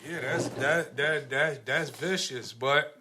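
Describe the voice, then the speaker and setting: A man's voice: mid-range voice, slow, bright, forceful tone, a little hiss or hum. A man hosting a casual podcast chat, slangy and repeating phrases for emphasis. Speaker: podcast host.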